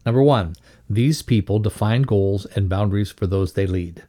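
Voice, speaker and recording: A man's voice: fairly low pitch, normal speed, dark tone. A man reading a scripted instructional lesson aloud as a narrator. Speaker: reader of a leadership values lesson.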